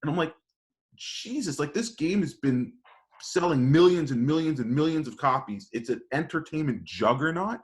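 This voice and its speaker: A man's voice: a little high, animated, moderate pace. A man in casual podcast debate, arguing his point in an insistent, emphatic way. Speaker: podcast panelist.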